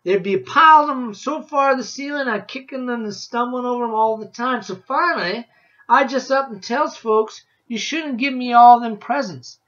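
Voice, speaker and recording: A man voicing a cheerful old backwoods woodsman with loud, drawling hillbilly speech, higher than his own voice. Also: normal speed. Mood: boastful. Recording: dull lo-fi audio.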